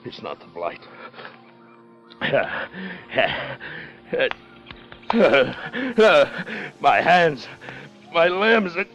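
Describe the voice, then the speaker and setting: An actor playing a fevered, gold-crazed treasure hunter in a melodramatic, raving, halting style of 1950s radio drama. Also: male voice, fairly high pitch, wildly swooping pitch, a bit bright, highs cut off, soft background music, heavily acted. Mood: panicked.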